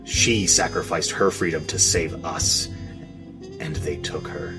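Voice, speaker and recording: An actor playing a gruff, world-weary New York speakeasy barkeep in a 1920s gangster radio drama, tough-talking in period slang. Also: male voice, a bass voice, steady tone, a little slow, over music. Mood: wistful, regretful.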